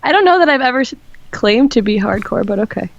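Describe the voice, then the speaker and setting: A woman's voice: fairly high pitch, expressive, quick pace, gravelly, lo-fi sound. A woman co-hosting a podcast, chatting in casual banter. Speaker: podcast co-host.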